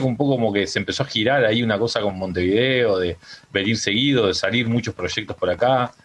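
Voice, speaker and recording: A man's voice: on the low side, fairly bright tone, slight background noise. A middle-aged man with a Uruguayan accent, chatting as a radio guest in a loose, rambling way with many restarts. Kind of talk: casual radio interview. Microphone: home microphone on a remote call.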